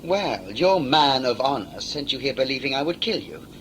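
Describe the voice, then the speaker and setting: A man's voice: medium pitch, very animated, moderate pace, a bit bright, slight background noise, poor audio. Man playing a smug, swooping sci-fi villain. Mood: mocking. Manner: condescending, mock-polite, theatrically gloating. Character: smug, talkative male villain, covert agent and mocking saboteur. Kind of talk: audio sci-fi drama acting.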